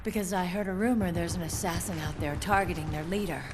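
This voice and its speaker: An actress voicing a composed young woman military commander in a video game cutscene, measured, serious and articulate. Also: lowish voice, fairly even intonation, background noise, lightly acted. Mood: composed, businesslike. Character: composed military unit commander, grown woman, wounded pride. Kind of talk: dramatic video game cutscene acting, expository. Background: music behind the voice.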